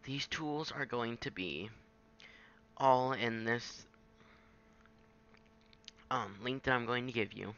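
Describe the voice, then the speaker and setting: A man giving an informal, halting software tutorial, thinking aloud as he goes. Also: medium pitch, muffled recording. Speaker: tutorial presenter. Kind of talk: casual screen-capture software tutorial.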